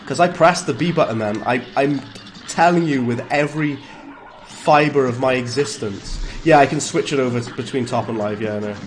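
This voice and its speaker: A man's voice: medium pitch, normal speed, a little hiss or hum, low-quality recording. A man with a Welsh accent streaming a game live, chatting casually, greeting viewers and reacting aloud to the play. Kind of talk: live gameplay commentary and chat replies.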